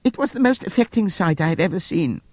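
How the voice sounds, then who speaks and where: A man's voice: high-pitched, lively intonation, fast talker, darkish tone, no room sound, voice pushed up. A man voicing an oily, falsely tearful pig propagandist in an audiobook, mournful, theatrical and sanctimonious. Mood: mock grief.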